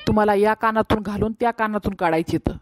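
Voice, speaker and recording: A woman with a rural Marathi accent voicing a bossy, scolding male stunt-show host in a comedy cartoon, with gruff, mocking banter. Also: mid-range voice, fairly even intonation, fast, no room sound, studio quality, lightly acted. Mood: menacing, bossy.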